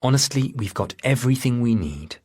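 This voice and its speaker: A man's voice: mid-range voice, animated, a little fast, darkish tone, breathy edge, voice pushed up. A man voicing a friendly, reassuring female TV interviewer in an audiobook.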